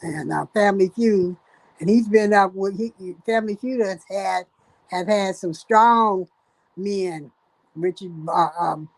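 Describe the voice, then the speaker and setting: An older woman from Ohio talks in an easygoing, halting way, with lots of fillers and restarts. Her voice sits in a middle pitch range. The sound is dry and close, with no room echo. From Dayton, Ohio, USA. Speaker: guest, host's mother. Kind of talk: casual chat.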